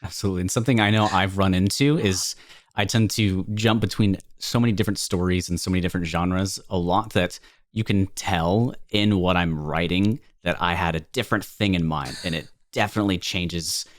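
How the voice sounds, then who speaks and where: A young man hosting a podcast interview on a video call, asking steady questions. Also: low voice, brisk, dry recording, clean studio sound. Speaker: podcast host.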